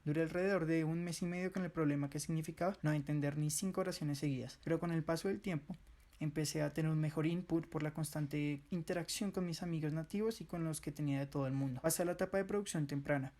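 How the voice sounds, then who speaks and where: A man reading a first-person account aloud for a student project, quick and earnest with small pauses. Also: fairly high pitch, fairly even intonation, a little mellow. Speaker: student presenter.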